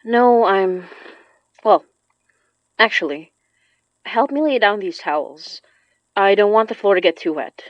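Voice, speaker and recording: An actress playing a young woman who works magic, tired, wry and protective, with a brisk, matter-of-fact delivery in a naturalistic audio drama. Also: medium pitch, lively intonation, no echo, highs cut off, close to an everyday voice. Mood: businesslike.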